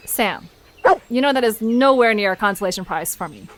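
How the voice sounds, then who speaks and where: A woman playing a curious, headstrong, caring young woman in an audio drama, with an expressive, questioning way of talking. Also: medium pitch, brisk, lightly acted. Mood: grudging.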